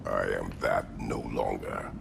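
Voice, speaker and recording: An actor playing a stern, brooding Spartan warrior in a video game, speaking tersely in a gravelly voice. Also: male voice, fairly even intonation, background noise, heavily acted. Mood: stern, restrained. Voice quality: very deep, gravelly. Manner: terse, stony, deliberate. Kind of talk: dramatic video game acting.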